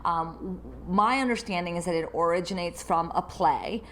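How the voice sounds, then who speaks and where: A woman's voice: lowish voice, normal speed, fairly bright tone, slight background noise. A woman therapist who grew up in the United States, hosting her own solo podcast and reflecting aloud in an unscripted way.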